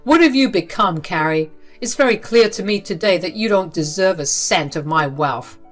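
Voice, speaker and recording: A woman's voice: low-pitched, lively intonation, normal speed, a bit bright, soft background music. Brief: a stern elderly father laying down the law, read plainly by a woman. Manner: firm, scolding.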